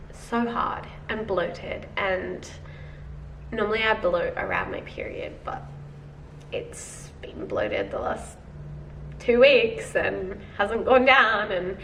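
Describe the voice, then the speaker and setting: A woman's voice: medium pitch, a bit bright. A young woman with a head cold talking casually to her viewers in a video diary, chatty and full of fillers, calling them guys. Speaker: vlogger. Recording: roomy, slightly distant, faint noise.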